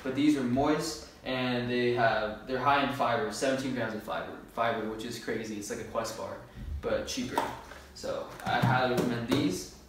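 A man's voice: medium pitch, fairly even intonation, normal speed. A man of about twenty talking in a laid-back, informal, slangy way, like a casual vlogger. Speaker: fitness vlogger. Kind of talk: casual grocery haul vlog. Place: bare-walled room indoors. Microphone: camera a few metres away. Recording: echoey room, distant mic, faint noise.

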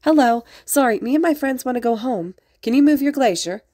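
A woman voicing a childlike, playful boy in a story, excitable and innocent with theatrical flair. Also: on the high side, moderate pace, dry recording, clean studio sound, voice pushed up. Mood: pleading, indignant. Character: childlike, playful, theatrical hero-student boy among villains.

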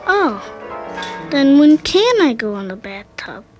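A woman voicing a precocious, inquisitive baby girl in a radio story, breathy and childlike. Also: high-pitched, very animated, slow pace, faint music behind, heavily acted. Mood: inquisitive, insistent. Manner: insistent, inquisitive. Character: precocious, questioning baby girl who talks.

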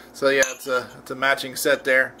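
A man hosting a casual home cooking demo in his kitchen, talking in a relaxed, rambling way with lots of fillers and restarts. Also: mid-range voice, background noise. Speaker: cooking channel host.

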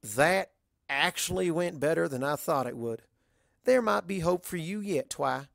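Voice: mid-range voice, expressive, measured pace, vocal fry, dry recording, clean studio sound, pitched above the performer's own voice. A narrator voicing a confident, plain-spoken pony mare who pushes and encourages a friend in a story. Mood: encouraging. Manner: pushy, encouraging.

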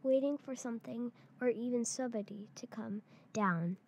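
A girl of about eight or nine, a third-grade pupil, reading a picture book aloud in a halting way. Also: fairly high pitch, a little mellow. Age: child, around eight or nine.

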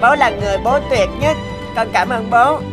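A woman's voice: fairly high pitch, moderate pace, natural voice. A woman playing an eager little boy who adores his father, in a dubbed cartoon with music behind. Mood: adoring.

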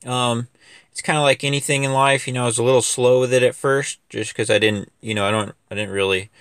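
A man's voice: mid-range voice, fairly even intonation, brisk, fairly bright tone, smooth, no echo, clean studio sound. A young man running a lawn care business, chatting casually in a loose, rambling way full of fillers and restarts. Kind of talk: casual equipment review vlog. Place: outdoors in a wooded yard.

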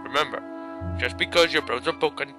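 A man's voice: fairly high pitch, fairly quick, sharp tone. A man playing a curt, commanding fighters' coach in a fantasy parody. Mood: brisk, bossy. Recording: music behind the voice.

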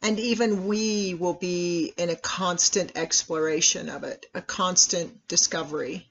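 A meditation guide with a mid-pitched voice leads a practice slowly and deliberately. The phrases are instructional and set apart by long pauses. The recording sounds dull and lo-fi. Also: steady tone, fairly bright tone.

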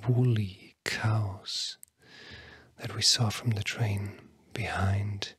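A tired-sounding ASMR host whispering a bedtime talk in a hushed, soothing, breathy way with long pauses. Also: deep voice, no echo.

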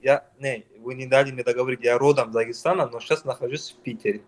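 A man's voice: mid-range voice, steady tone, quick pace. A young man from Dagestan who answers questions on a call, hesitant and getting defensive.

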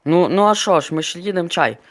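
A man's voice: sits high, fast talker, a bit bright. A man leading a squad over game voice chat, repeating orders and swearing. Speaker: squad leader in an online game. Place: online game voice chat, gunfire behind.

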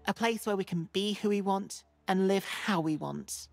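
A woman playing a gentle, earnest fen dweller in a video game, speaking in a sincere, measured way. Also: mid-range voice, creaky, no echo, soft background music. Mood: earnest, confiding. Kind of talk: video game character dialogue.

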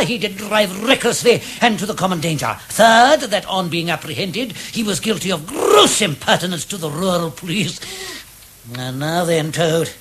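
An actor with a British accent playing a pompous, easily outraged judge in a 1970s radio comedy drama. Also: male voice, high-pitched, a bit bright. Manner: pompous, theatrical. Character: blustering, easily outraged judge at a comic trial.

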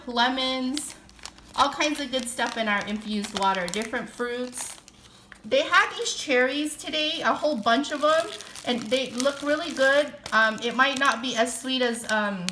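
A bright, slightly high-voiced woman in middle age chats about her groceries, upbeat and full of fillers. She talks into a handheld phone in a home kitchen. The room sounds echoey, with faint background noise. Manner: chatty, upbeat, lists items with frequent fillers. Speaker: vlogger. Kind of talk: casual grocery haul chat.

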